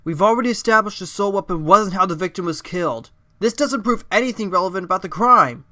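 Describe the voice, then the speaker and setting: A man's voice: high-pitched, expressive, fast talker, very noisy. A man playing a determined, wry fox defense lawyer in a courtroom audio drama, speaking earnestly. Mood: challenging.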